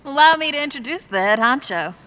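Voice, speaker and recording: An actress playing Kat, a brisk, dry, matter-of-fact woman who runs operations. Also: high voice, lively intonation, bright, forceful tone. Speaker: operations dispatcher. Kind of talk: video game cutscene voice acting.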